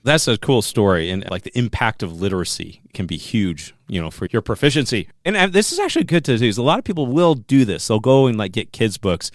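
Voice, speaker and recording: A man co-hosting a podcast, interviewing a guest in a quick, encouraging way. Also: fairly low pitch, sing-song, no echo, studio quality. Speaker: podcast co-host. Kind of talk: casual interview chat.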